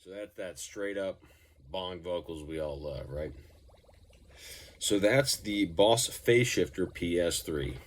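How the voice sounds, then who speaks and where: A man's voice: deep voice, takes it slowly, slight background noise. A man giving a casual, off-the-cuff effects pedal demonstration as a musician, talking in an informal, rambling way. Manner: informal, rambling, mildly profane.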